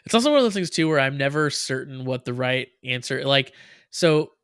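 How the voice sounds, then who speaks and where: A man's voice: mid-range voice, lively intonation, a little fast, a bit bright, clear, resonant tone, no room sound, studio quality. A man with an American accent co-hosting a casual show review, rambling with lots of fillers and frequent laughs. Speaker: podcast co-host. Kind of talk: casual chat.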